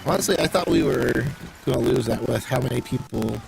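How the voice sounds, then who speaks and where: A man's voice: mid-range voice, brisk, creaky, darkish tone, low-quality recording. A man live-streaming a game with casual commentary, musing aloud as he thinks through his tactics. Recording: music behind the voice. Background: music.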